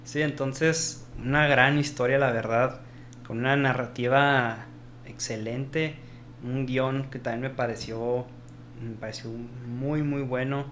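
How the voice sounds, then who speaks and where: A man's voice: medium pitch, slow pace, a little hiss or hum. A man with a Mexican accent in a casual film discussion, retelling scenes and acting out the characters' quoted lines. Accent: Mexican Spanish. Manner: animated storytelling, acts out quoted dialogue, frequent restarts.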